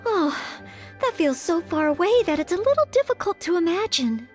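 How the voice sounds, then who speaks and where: A young maid with knightly dreams from an anime video game. Her voice is strikingly high, sweet and girlish. She speaks with earnest politeness and an anxious, hesitant edge, over light music. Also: sing-song, normal speed. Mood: unsure, hesitant.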